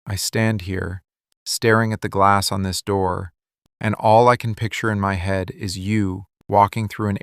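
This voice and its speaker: A man playing a possessive, brooding mafia boss lover in a scripted boyfriend ASMR roleplay, menacing and commanding, with deliberate dramatic pauses. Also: low voice, creaky, no echo, studio quality, heavily acted. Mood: torn, brooding.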